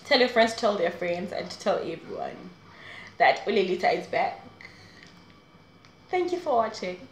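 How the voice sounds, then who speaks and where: A woman's voice: mid-range voice, sing-song, moderate pace, reverberant, slight background noise. A woman in her twenties talking straight to camera in a casual, rambling advice vlog, laughing often. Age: 20s. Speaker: vlogger. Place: small room indoors.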